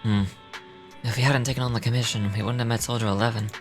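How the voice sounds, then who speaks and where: A man's voice: mid-range voice, fairly even intonation, fast. A man reading game dialogue aloud in character, with small stumbles as he reads. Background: music.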